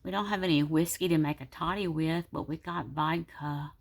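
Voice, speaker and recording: Brief: sick woman vlogging from her home desk, low gravelly voice, congested and worn out. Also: steady tone, moderate pace. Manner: tired, low-energy, rambling. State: sick, congested, sore throat. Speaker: planner vlogger. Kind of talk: casual vlog talk to viewers.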